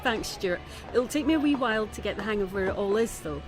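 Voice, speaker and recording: A woman's voice: medium pitch, animated, fast talker, natural voice. An actress playing a guarded, dry and troubled Scottish woman in a naturalistic audio drama, with music behind her. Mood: polite, obliging. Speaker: actor.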